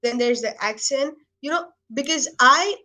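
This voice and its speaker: A young woman with an Indian accent, chatting earnestly and lively, often saying "you know". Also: high voice, normal speed. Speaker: guest. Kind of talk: casual video chat.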